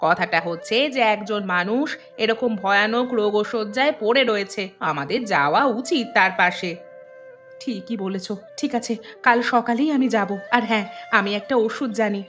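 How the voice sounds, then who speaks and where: A woman's voice: a little high, normal speed, fairly bright tone, lightly acted. A woman playing a caring village housewife in a cartoon, earnest and concerned. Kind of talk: Bengali cartoon voice acting. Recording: music behind the voice.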